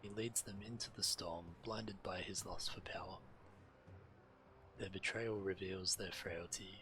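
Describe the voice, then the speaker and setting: A man streaming a video game, reading quest text aloud in a theatrical, deliberate way. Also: low-pitched, fairly even intonation, sharp tone, a little hiss or hum, rough recording. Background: faint game music.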